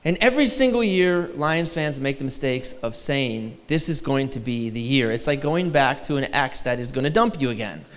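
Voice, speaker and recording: A man's voice: medium pitch, animated, normal speed, hardly any pauses, big-room sound. A man in his forties from Detroit, a guest preacher giving a sermon with a light, thin voice and a joking, self-deprecating manner. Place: large church hall.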